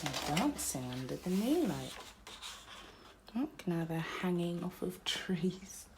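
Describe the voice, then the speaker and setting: A woman reading a children's picture book aloud in an expressive, theatrical way, with deliberate phrasing for young children. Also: on the low side, noisy background. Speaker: storybook reader.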